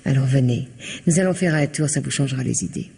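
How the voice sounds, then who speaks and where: A woman's voice: rumbling low, fast talker, gravelly, mellow, breathy edge, heavily acted. An actress playing a cool, disdainful and manipulative woman in an old radio drama. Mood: coolly mocking. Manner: haughty and disdainful, later frantic with hatred. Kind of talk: 1960s French radio drama acting. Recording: hissy old radio recording with long reverb.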